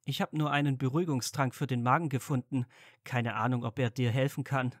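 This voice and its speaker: Caring young male wizard, acted by a man, mid-pitched, casual, earnest, dry recording, audio drama. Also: fairly even intonation, fairly quick, no room sound, natural voice. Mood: concerned. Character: young male wizard, caring, curious, awkwardly supportive friend. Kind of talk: fan fiction audio drama acting.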